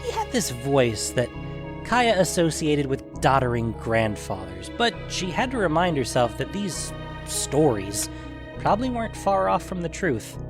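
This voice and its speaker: A man's voice: on the high side, big pitch swings, measured pace. A man reading scripted fantasy story narration as the narrator. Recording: music behind the voice.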